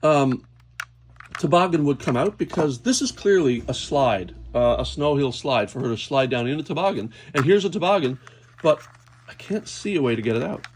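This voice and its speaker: A man's voice: on the high side, animated, normal speed. A man hosting a casual, unscripted toy unboxing, thinking aloud hesitantly as he handles things. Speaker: toy unboxing video host. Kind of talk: casual unscripted hands-on commentary. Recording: clear background noise, clicking handling sounds.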